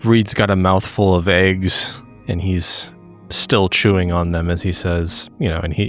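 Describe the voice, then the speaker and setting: A man playing a tabletop roleplaying game, casually narrating his character and speaking his lines. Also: low-pitched.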